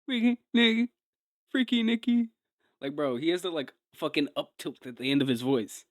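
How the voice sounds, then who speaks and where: A man's voice: on the high side, animated, moderate pace, dry recording, studio quality. A man doing casual live reaction commentary as a streamer, rambling and swearing in a slangy way.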